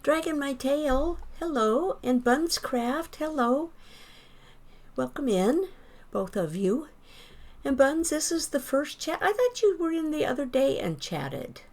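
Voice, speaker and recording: A woman streaming a game, chatting casually with viewers and rambling as she thinks out loud. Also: sits high, very animated, slow pace. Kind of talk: casual gameplay chat with viewers.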